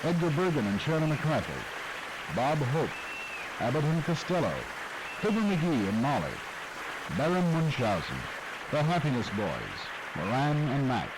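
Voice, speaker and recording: A man giving measured, reflective scripted narration for a documentary. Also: on the high side, very animated, background noise.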